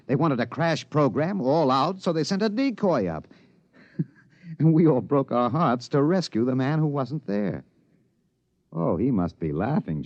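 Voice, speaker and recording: A man's voice: medium pitch, a little mellow, dry recording. Agitated American newsman, emphatic stammering delivery, 1950s radio drama, dry muffled vintage recording. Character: excited radio newsman, agitated, obsessed with breaking a cover-up. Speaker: radio reporter.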